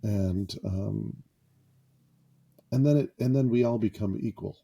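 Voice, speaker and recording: Slow-talking man, dark husky voice. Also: lowish voice. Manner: self-deprecating and jokey. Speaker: podcast host. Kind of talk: improvised casual podcast monologue. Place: small closet padded with sound foam.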